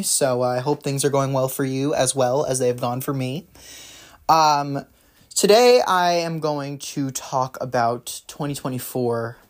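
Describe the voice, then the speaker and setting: A man hosting a solo talk show, rambling through his views with many fillers and restarts, his voice slightly raspy from a runny nose. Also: mid-range voice. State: runny nose, maybe a cold. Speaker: podcast host. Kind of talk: casual solo political commentary.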